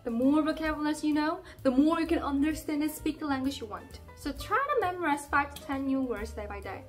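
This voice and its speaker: A woman's voice: high voice, animated, brisk, faint music behind. A young Korean woman in her twenties with a Korean accent, cheerfully teaching language tips straight to the camera. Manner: cheerful and playful, with emphatic exclamations. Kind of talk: upbeat direct-to-camera lesson. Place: indoor room by a plain wall.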